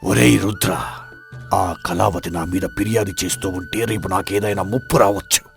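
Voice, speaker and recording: A man plays a scheming, villainous fort lord in a folk tale. He speaks in a very deep voice with a haughty, commanding manner. Light music sits underneath. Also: moderate pace, gravelly. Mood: irritated, menacing. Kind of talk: Telugu audio folk tale acting.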